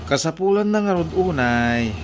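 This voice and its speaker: Chatty Ilocano woman with lively tone. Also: deep voice, normal speed, slight background noise. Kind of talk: Ilocano audio drama acting.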